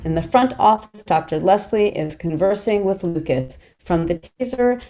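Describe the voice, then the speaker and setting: A woman reading scene headings and stage directions at a table read in an even, plain delivery. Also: mid-range voice, moderate pace. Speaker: narrator.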